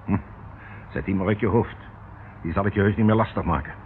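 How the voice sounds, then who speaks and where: A man's voice: lowish voice, brisk, close to an everyday voice. An actor in his fifties playing a coaxing, evasive ship captain in a radio drama. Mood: coaxing, secretive. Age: 50s. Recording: tinny band-limited old radio recording, noisy.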